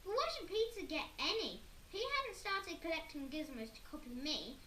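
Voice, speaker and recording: A woman's voice: big pitch swings, normal speed, reverberant, a little hiss or hum, exaggerated voice. A woman voicing a bratty young boy who shrieks, jeers and wails for his own way. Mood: sulky jeering. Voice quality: shrill, yelling.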